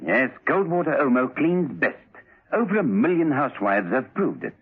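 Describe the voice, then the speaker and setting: Male advert voice, scripted pitch, husky, animated, old phone-like radio recording. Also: moderate pace. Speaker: advert announcer. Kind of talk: 1970s radio commercial.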